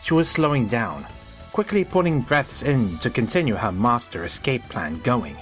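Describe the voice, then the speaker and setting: A man's voice: medium pitch, very animated, moderate pace, a bit bright, faint music behind. A man narrating a scripted story in a storybook style.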